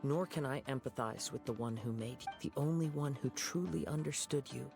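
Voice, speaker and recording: A man voicing a calm, principled young wandering samurai in a video game, speaking in a composed, measured and formal manner. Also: mid-range voice, gravelly, natural voice. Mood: solemn reproach. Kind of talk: video game character voice acting. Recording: music behind the voice.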